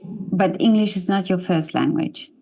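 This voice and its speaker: Slightly low-voiced female interviewer. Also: measured pace, warm tone, muffled recording. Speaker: interviewer, host. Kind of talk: casual conversational interviewing.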